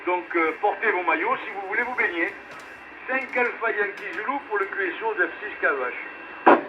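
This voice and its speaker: A man casually hosting an amateur radio net as its controller, often pausing to think, heard over a radio relay. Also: high-pitched. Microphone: two-way radio transmission. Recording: muffled, noisy VHF amateur radio relay.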